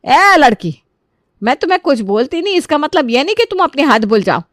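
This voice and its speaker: A woman voicing a sharp-tongued, suspicious older mother-in-law who scolds and accuses in a family drama. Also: mid-range voice, animated, normal speed, no echo, clean studio sound, voice pushed down, lightly acted. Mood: scolding.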